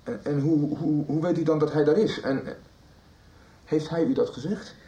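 A man's voice: fairly high pitch, moderate pace, dark tone, dull audio, lightly acted. An actor playing a hesitant, stammering man who is looking for a missing young friend, in a 1990s radio drama. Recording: roomy radio drama studio.

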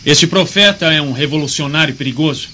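Hard-line Roman councillor, forceful, highish, vehement, radio drama. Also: male voice, fairly quick. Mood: indignant. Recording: muffled radio drama, faint insect noise.

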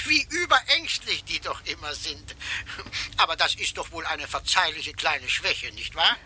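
Fussy insinuating solicitor. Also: male voice, fairly high pitch, big pitch swings, pushed and bright, a little hiss or hum, heavily acted. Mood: smooth, insinuating. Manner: polite, halting, insinuating. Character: fussy middle-aged male solicitor, smooth, insinuating. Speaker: lawyer on the phone. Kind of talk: German radio drama acting.

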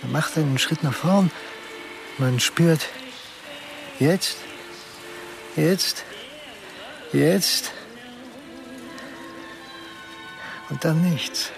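An actor playing an ashamed middle-aged man in a radio drama, speaking confessionally in deliberate short phrases. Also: on the high side, vocal fry, over music, full character voice, rough recording. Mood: bleak, haunted. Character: ashamed middle-aged man who secretly lost his job. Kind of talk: German radio drama acting, monologue.

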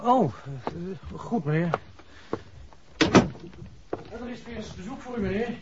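An actor playing a flustered, eager household servant in a 1960s Dutch radio play, hesitant and deferential. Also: male voice, high voice, expressive, measured pace, heavy background noise. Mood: flustered, apologetic. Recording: dull, muffled old radio recording.

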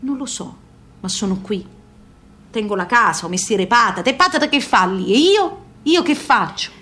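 A woman's voice: fairly high pitch, lively intonation, moderate pace, a bit bright, slightly rough. An actress playing a weary, torn emigrant mother in a radio play. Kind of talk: radio theatre monologue and dialogue.

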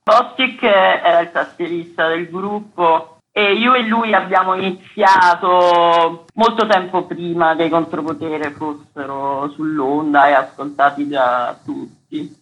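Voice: medium pitch, fairly even intonation, unhurried, lo-fi sound. A radio interview guest, recalling things in a conversational style. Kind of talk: conversational recollection.

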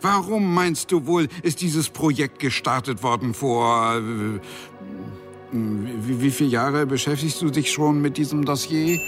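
A man plays an old angel in charge, forgetful and testy, in a radio drama. He gropes for words and grumbles in a mid-pitched voice, with faint music behind him. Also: fairly even intonation, lightly acted. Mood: flustered, testy. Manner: halting, searching for words, grumbling. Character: forgetful, impatient old male chief angel. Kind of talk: German radio drama acting.

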